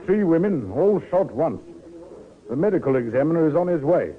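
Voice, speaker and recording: Police sergeant; deferential, matter-of-fact, narrow-band vintage radio play sound. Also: male voice, a little high, big pitch swings, moderate pace. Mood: brisk, matter-of-fact. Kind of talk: vintage radio drama acting. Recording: muffled old radio recording.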